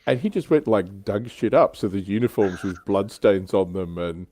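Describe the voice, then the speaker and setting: A man's voice: lowish voice, moderate pace, mellow. A man hosting a casual hobby livestream, chatting informally in an anecdotal, joking way. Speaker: show host.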